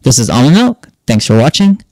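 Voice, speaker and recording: A man's voice: medium pitch, sing-song, fairly quick, darkish tone, no room sound. A man hosting a tech tutorial, explaining step by step in a casual screen-narration style. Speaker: host of a tech tutorial channel.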